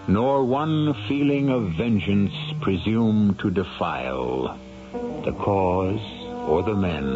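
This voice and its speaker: Man, low creaky voice, very deliberate pace, theatrical host narration, dull vintage radio, music behind. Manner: measured, ominous, theatrical. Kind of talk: scripted dramatic narration, 1970s radio.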